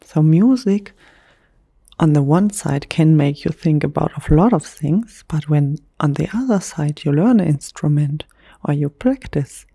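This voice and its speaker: A German woman, a native German speaker talking in English, whispering softly in a gentle ASMR chat. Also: deep voice, lively intonation, gravelly, breathy edge, no echo, clean studio sound. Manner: soft, slow, unhurried whisper with gentle pauses. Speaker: ASMR creator.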